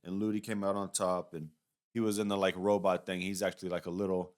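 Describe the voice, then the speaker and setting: Low, clear-voiced man chatting casually. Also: flat delivery, fast talker. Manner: rambling, hedging, conversational. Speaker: YouTube reviewer. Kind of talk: casual, unscripted review commentary.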